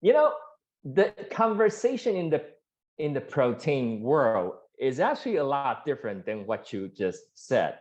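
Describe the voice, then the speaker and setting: A man from Taiwan, a startup founder answering investor questions earnestly, with frequent restarts and fillers. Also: a little slow. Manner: earnest, many restarts and fillers, rises in pitch when animated. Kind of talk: pitch Q&A answers. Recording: dull lo-fi sound.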